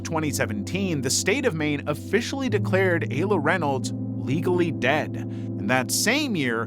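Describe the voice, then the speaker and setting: A man reading scripted true crime documentary narration in a measured, serious storytelling manner. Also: fairly high pitch. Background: music behind the voice.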